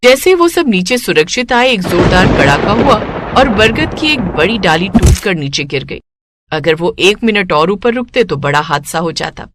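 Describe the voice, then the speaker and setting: A woman narrating a scripted moral story as an expressive storyteller. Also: fairly low pitch, normal speed.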